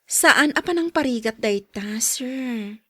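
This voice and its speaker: Woman acting a high, husky, firm Ilocano caregiver. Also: expressive, slow, pushed and bright, no echo, clean studio sound, heavily acted. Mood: prodding. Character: firm, brisk, pushy but caring female caregiver. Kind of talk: Ilocano radio drama acting.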